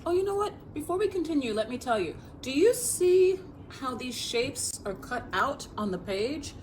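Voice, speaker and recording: A woman's voice: high-pitched, very animated, moderate pace, a little mellow, a little hiss or hum, rough recording. An American woman reading a picture book aloud as a children's librarian, expressively, speaking directly to young listeners.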